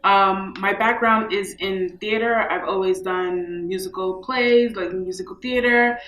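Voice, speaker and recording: A young woman from New York with a bright voice talks about her work in a casual interview. She speaks chattily and leans on fillers. The sound has the ring of an echoey room. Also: mid-range voice, steady tone, unhurried. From New York, USA. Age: young adult. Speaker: film festival co-founder.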